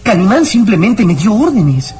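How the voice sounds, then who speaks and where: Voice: medium pitch, big pitch swings, normal speed, darkish tone, natural voice. An actor playing an anxious, loyal young boy in an old radio serial, earnest and pleading. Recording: faintly noisy old radio recording.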